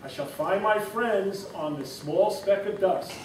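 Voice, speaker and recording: A man's voice: sits high, a little slow. A man voicing a kind-hearted, loyal male elephant who calls out in an earnest, eager way. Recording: roomy, distant mic, background noise.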